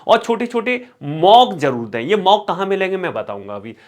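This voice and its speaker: A man's voice: sits high, very animated, normal speed. A man teaching as an exam coach, instructional, repeating key words for emphasis and mixing in English terms. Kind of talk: exam strategy lesson with course promotion.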